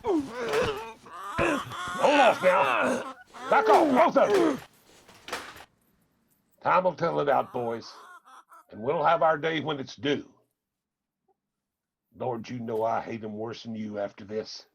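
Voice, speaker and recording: An actor playing a weary, stern old mountain father in a radio drama, with an Appalachian accent and a husky, gravelly voice. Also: mid-range voice, big pitch swings, slow pace, long pauses, heavily acted. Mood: angry command.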